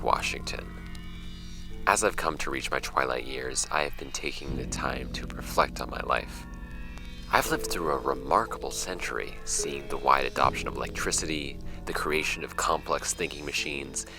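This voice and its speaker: A man reads as an elderly letter writer, reflective and formal, in an audio drama. The voice is extremely deep and creaky, with measured, deliberate phrasing over faint music. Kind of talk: formal first-person letter reading.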